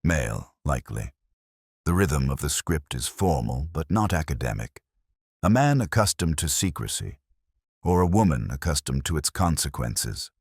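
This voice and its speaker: A man narrating as a brooding, reflective English detective, in measured, literary, melancholy first-person mystery storytelling. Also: very deep voice, very animated, slightly rough, no room sound, studio quality, full character voice.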